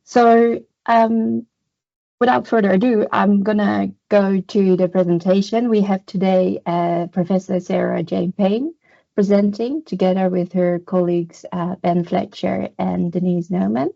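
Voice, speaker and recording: A woman hosting a webinar, giving an unhurried formal welcome and introduction that opens with a Māori greeting. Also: medium pitch, fairly even intonation, creaky, mellow. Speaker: webinar host. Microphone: video call. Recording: dull lo-fi video call audio.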